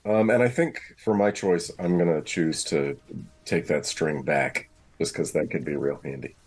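A man's voice: extremely low pitch, normal speed, darkish tone, slightly rough. A man playing a tabletop roleplaying game, chatting casually and improvising as he goes. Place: remote online call.

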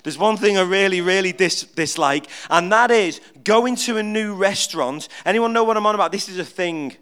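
A middle-aged man preaching an informal, humorous sermon in church, chatty and animated, restarting words and joking with the congregation. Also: high voice, moderate pace, clean tone. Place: church, speaking at the front.

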